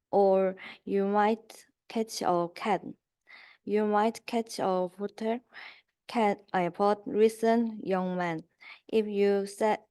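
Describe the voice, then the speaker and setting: A young woman student reading a rhyming children's book aloud in a halting, word-by-word way. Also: mid-range voice, warm tone, clean tone. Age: young adult. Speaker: student reader.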